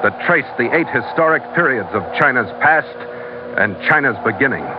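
An actor playing a steady, seasoned sea captain, narrating a 1940s radio adventure in a clipped, dramatic, hard-boiled manner. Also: male voice, medium pitch, moderate pace, very noisy, lightly acted. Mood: measured, foreboding. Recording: muffled old radio recording. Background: dramatic music.

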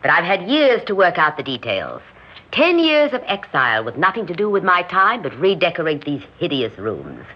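Grandiose scheming society hostess, swooping delivery. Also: female voice, fairly low pitch, wildly swooping pitch, normal speed. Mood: brittle, restless. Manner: theatrical, imperious. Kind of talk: old radio drama acting. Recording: muffled old radio recording.

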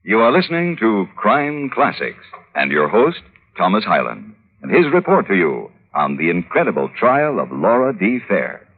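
A man's voice: on the low side, wildly swooping pitch, moderate pace. A man announcing an old-time radio show in a formal, emphatic manner. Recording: tinny vintage radio recording.

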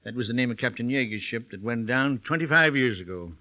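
An American actor playing a skeptical, folksy small-town sheriff in an old-time radio drama. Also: male voice, mid-range voice, lively intonation, fast, lightly acted. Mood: probing, casual. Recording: dull lo-fi vintage radio recording.

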